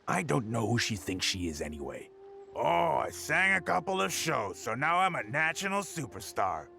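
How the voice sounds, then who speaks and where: Gravelly, scheming, hectoring newspaper boss. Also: male voice, mid-range voice, sing-song, normal speed, sharp tone, soft background music, exaggerated voice. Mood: dismissive. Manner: condescending, hectoring, slangy. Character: pushy, scheming, unscrupulous male newspaper boss, scornful and bullying. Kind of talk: video game voice acting.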